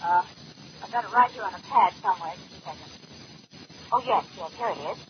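A woman's voice: mid-range voice, brisk, muffled recording, close to an everyday voice. Vintage radio drama: woman acting a courteous, obliging assistant, faint noise, mid-range voice. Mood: helpful. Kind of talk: 1940s radio mystery acting.

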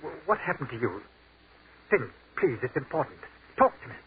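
An actor playing an earnest army captain who has died, speaking urgently in 1940s radio-drama style. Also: male voice, medium pitch, big pitch swings, moderate pace, close to an everyday voice. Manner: urgent and stammering, then rapturous. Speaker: lead actor. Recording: tinny old radio broadcast with hiss.

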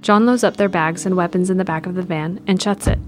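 Low-pitched woman narrating an audio drama. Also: steady tone, fairly quick, faint music behind. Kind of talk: scripted present-tense drama narration.

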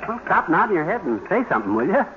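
A blustery middle-aged husband from an old radio comedy. His highish voice swoops around as he teases in folksy, rough grammar. The recording is dull and full of noise. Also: sing-song, very fast. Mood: boastful, teasing. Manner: folksy, ungrammatical, teasing. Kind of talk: 1940s radio comedy acting.

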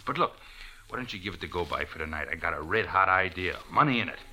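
A man's voice: sits low, expressive, quick pace, pushed and bright, heavily acted, low-quality recording. An actor playing a seedy, pushy ex-wrestler in an old radio drama, wheedling in chummy tough-guy slang. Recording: old 1940s radio recording.

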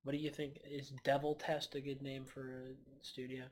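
A man's voice: medium pitch, level tone, normal speed. A man who is a guest on a casual podcast, talking in a slangy, profane, drifting way.

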